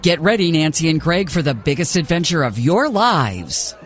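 An actor playing a bombastic, dryly funny reality show announcer in a video game. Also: male voice, on the high side, big pitch swings, moderate pace, a loud crowd. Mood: hyped, mock-dramatic. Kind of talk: showy television announcing. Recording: music playing behind the voice.

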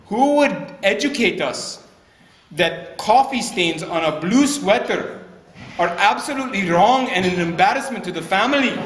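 A man's voice: high voice, animated, normal speed. A middle-aged man reading a comic toast aloud to a live audience, projecting theatrically. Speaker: toast giver. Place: large indoor banquet room. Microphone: camera a few steps away. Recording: echoey noisy hall with loud crowd. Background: laughing crowd, room noise.

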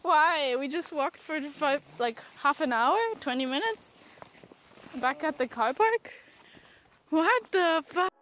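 A woman's voice: high-pitched, lively intonation, takes it slowly, a bit bright. A woman in her twenties filming a casual travel vlog outdoors with a handheld camera, laughing often and exclaiming and swearing freely. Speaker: vlogger. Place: outdoors on a hiking trail and beach.

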